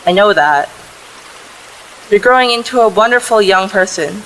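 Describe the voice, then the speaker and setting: A woman acts out a loving mother in a modern audio play. Her voice sits at a middle pitch, tender and earnest, with a worried parental care. Some background noise sits behind her. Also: lively intonation, normal speed, a bit bright, close to an everyday voice, low-quality recording. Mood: tender, wistful. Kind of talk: contemporary audio play acting.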